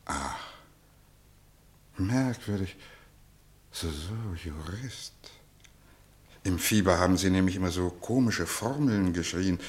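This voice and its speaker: A man acting an urbane, fatherly professor of medicine in a radio play, deliberate and reassuring, with pointed pauses. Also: deep voice, lively intonation. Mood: probing, musing.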